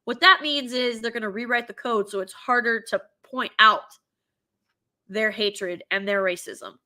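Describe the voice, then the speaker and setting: A woman hosting her own commentary show, her voice stuffy from a cold. Her tone is bright and cutting, sitting a little above the usual pitch. She is animated and opinionated, slipping into mocking impressions as she goes. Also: unhurried. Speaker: show host.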